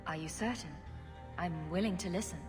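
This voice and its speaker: An actress playing a composed, wryly blunt adventurer in a fantasy game, with a direct delivery. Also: female voice, fairly low pitch, normal speed, in a large hall, over music, natural voice. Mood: wry, concerned. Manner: direct, wry. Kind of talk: cinematic fantasy game acting.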